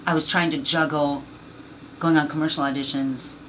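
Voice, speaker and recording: Husky, deep-voiced woman. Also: fairly even intonation, moderate pace, a little hiss or hum, rough recording. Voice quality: husky low voice. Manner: rambling, many fillers. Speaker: actress and voice actor guest. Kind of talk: casual interview chat.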